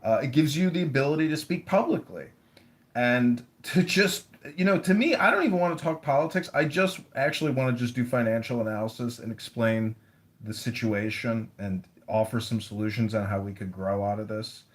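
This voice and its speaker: Brief: a man candidate, blunt, rambling, plain mid-pitched voice. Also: brisk. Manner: blunt, rambling, swears freely. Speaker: mayoral candidate. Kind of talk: casual interview conversation.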